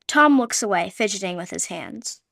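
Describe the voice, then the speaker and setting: A woman narrating a radio play with even, descriptive storytelling. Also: medium pitch, normal speed, creaky, no echo, clean studio sound.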